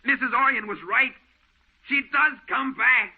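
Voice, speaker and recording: A man's voice: extremely high pitch, fairly even intonation, a little slow. An actor playing a frightened, agitated man in an old radio horror play. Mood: frightened, insistent. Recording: tinny, phone-like old radio recording.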